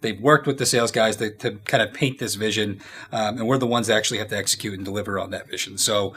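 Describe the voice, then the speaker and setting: A man in his thirties giving casual, informal interview answers as a company executive, often halting as he thinks aloud. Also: on the low side, a bit bright. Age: 30s.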